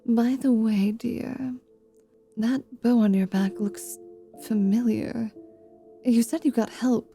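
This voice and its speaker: A woman voicing a haughty, threatening half-vampire in a fantasy ASMR roleplay, with a sardonic, sharp-tongued manner. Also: on the high side, fairly even intonation, slow, long pauses, faint music behind. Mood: teasing, coy.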